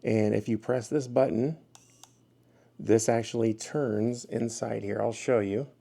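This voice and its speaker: A man's voice: fairly low pitch, fairly even intonation, a little slow, creaky, dark tone. A man giving a casual, hands-on product review as the host of his own video.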